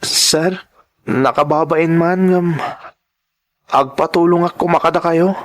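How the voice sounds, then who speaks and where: Earnest, high-voiced humble young man played by an actor. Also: steady tone, slow pace. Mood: shy, pleading. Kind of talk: Ilocano radio-drama acting.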